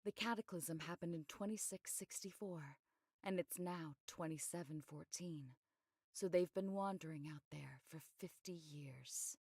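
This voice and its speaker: Composed, creaky-voiced investigator. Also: female voice, deep voice, darkish tone, no echo, clean studio sound, full character voice. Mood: measured, explanatory. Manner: measured, matter-of-fact, explanatory. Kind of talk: scripted anime game voice acting.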